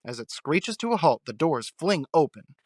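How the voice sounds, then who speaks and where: A man delivering dramatic scripted narration with suspenseful, deliberate phrasing. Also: fairly high pitch, sing-song, no echo, clean studio sound.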